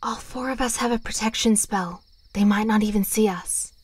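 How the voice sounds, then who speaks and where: A woman's voice: medium pitch, normal speed, husky, no echo, lightly acted. A woman playing a serene, affectionate, protective witch in an audio drama. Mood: cautious. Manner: calm, affectionate.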